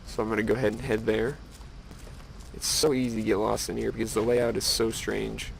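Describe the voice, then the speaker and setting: A man's voice: fairly low pitch, level tone, fairly quick, gravelly, a little hiss or hum, low-quality recording. A man giving casual, rambling game commentary with musing, wry asides to the viewer. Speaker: gameplay video host.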